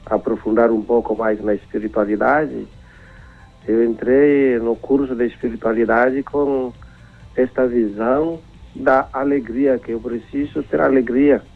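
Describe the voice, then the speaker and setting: A man. He talks slowly and haltingly, his voice gravelly with fry. He comes through a narrow, tinny phone line. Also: medium pitch, fairly even intonation, soft background music. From Timor-Leste. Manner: halting, searching for words, chuckling. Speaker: priest and phone-in guest. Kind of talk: phone interview.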